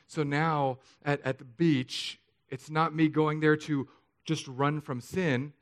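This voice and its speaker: Pastor, male, 30s, earnest confessional preaching, slightly high-pitched. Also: steady tone, slow. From Southern California. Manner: earnest, confessional, swings from soft to emphatic. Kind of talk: sermon.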